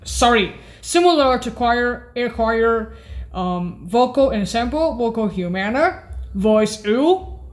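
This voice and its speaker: Very slow, high-pitched woman presenting sounds. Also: animated. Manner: hesitant, deliberate, listing names one by one. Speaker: presenter demonstrating keyboard voice sounds. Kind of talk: halting informal music demo narration.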